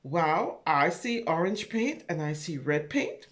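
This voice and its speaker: Female storyteller for preschool read-aloud. Leisurely delivery, very lively intonation, chatty asides and questions to young listeners. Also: fairly low pitch, slow pace. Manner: expressive, wondering, talks directly to young listeners, asking questions. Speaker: children's storyteller.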